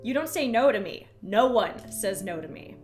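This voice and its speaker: A woman reading aloud, voicing a taunting young bully boy who turns shrill and loud. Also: expressive, normal speed, music in the background, close to an everyday voice. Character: sneering bully boy, village ringleader.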